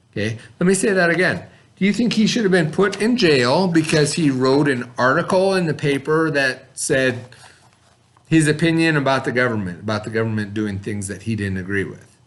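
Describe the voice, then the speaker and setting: A man's voice: mid-range voice, fairly bright tone. A man teaching a class, reading a story aloud and stopping to explain words and ask the children questions. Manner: explains and asks the class questions between passages.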